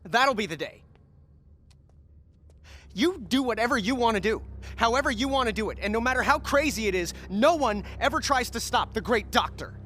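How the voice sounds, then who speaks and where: A man's voice: extremely high pitch, lively intonation, normal speed, a bit bright, a little hiss or hum. An actor playing a skeptical, sarcastic teenage boy sidekick in a video game cutscene. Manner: sarcastic, exasperated. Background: music.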